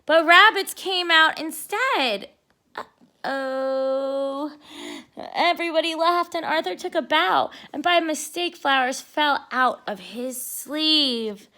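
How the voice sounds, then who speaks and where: A woman with a high voice reads a children's storybook aloud. She takes her time, with bright tone and expressive, simple delivery suited to preschoolers. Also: takes it slowly. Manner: explains words simply to young listeners.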